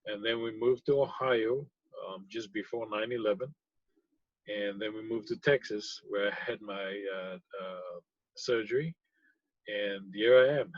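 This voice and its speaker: A man from South Africa, a pharmacy technician, answering interview questions thoughtfully, with frequent pauses and fillers. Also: fairly low pitch, fairly even intonation, no echo, highs cut off. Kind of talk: conversational interview.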